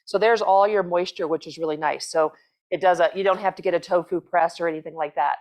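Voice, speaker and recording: A woman's voice: fairly low pitch, steady tone, fast, a little mellow. A middle-aged woman hosting a cooking demonstration in a home kitchen, talking casually and rambling with fillers and restarts on a lapel mic. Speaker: cooking show host, chef.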